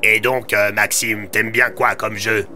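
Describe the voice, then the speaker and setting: A man's voice: on the low side, level tone, a little slow, pushed and bright, faint music behind. A man voicing a talking crow in an audio drama, cheeky and slangy, with a casual, blunt way of talking. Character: cheeky talking male crow, crude and playful.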